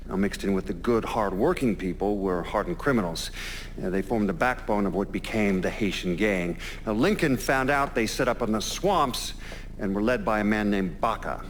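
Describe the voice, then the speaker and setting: Man acting as investigator in game documentary bit, lowish voice, dry facts, slight bg noise. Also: natural voice. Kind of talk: documentary-style interview in a video game.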